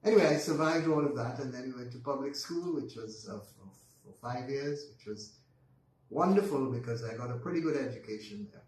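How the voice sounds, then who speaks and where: An older Barbadian man in his eighties recalls his past in a steady, unhurried voice, full of pauses and restarts. He sounds set back from the microphone in a very echoey room, with a faint hiss of noise behind him. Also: medium pitch. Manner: unhurried, with frequent ums and restarts. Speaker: guest. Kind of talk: reminiscing storytelling in conversation. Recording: roomy echo, distant mic, faint noise.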